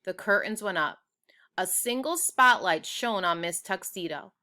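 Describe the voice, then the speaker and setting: A woman's voice: lowish voice, animated, a little slow, pushed and bright. A woman reading a children's storybook aloud expressively, as if showing it to young listeners. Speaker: read-aloud narrator for children.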